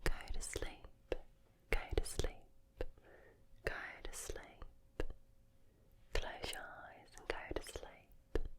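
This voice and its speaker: A woman voicing a laid-back, matey Australian with a put-on Australian accent, in a soothing breathy ASMR whisper. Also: extremely slow, pauses often.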